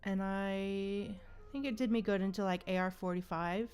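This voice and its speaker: A woman's voice: medium pitch, steady tone, normal speed, darkish tone, faint music behind. A woman livestreaming a game, chatting casually and musing aloud in an informal, slangy way. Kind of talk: casual live stream chat and commentary.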